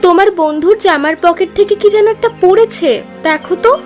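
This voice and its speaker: A woman's voice: very high-pitched, moderate pace, a little mellow. A woman voicing a greedy, scheming young wife who pushes her husband. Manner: coaxing, insistent. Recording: voice over music.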